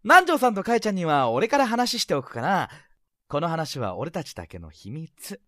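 Man acting a sly, animated teenage senior boy. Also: a little high, normal speed, no room sound, clean studio sound. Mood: sly, persuasive. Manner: smooth-talking, playful, insinuating. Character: sly, teasing high school senior boy, confident schemer. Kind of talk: Japanese romantic-comedy voice drama acting.

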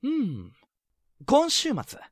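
Man acting a sly, animated teenage senior boy. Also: extremely high pitch, drawn-out words, no room sound, clean studio sound, full character voice. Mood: confident. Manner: smooth-talking, playful, insinuating. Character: sly, teasing high school senior boy, confident schemer. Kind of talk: Japanese romantic-comedy voice drama acting.

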